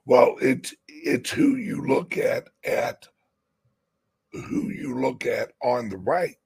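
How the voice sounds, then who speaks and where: Raspy, gravelly male host, slow and rambling, lively pitch swings. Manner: emphatic, rambling with restarts. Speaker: crypto show host. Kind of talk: casual political chat.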